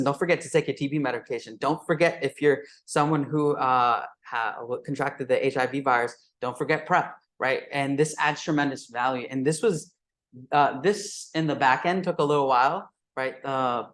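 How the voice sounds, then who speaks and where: A man in his twenties, a native Urdu speaker, walks an audience through a live software demo. He talks at a middling pitch, stops and restarts often, fills gaps with "uh", and keeps checking in with "right?". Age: 20s. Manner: halting, many fillers and restarts, frequent "right?". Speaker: teacher presenting at a meetup.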